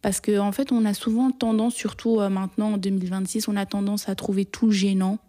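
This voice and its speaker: A young woman answers personal questions in an interview. She sounds shy and hesitant, restarting her sentences and filling pauses. Her voice is mellow and dark, and her pitch barely moves. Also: level tone. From Belgium.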